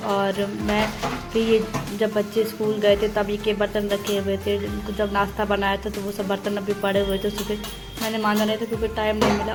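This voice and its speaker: A young woman homemaker narrating her daily chores in a steady, matter-of-fact voice-over, step by step. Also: medium pitch. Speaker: homemaker vlogger. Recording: voice-over with loud background music.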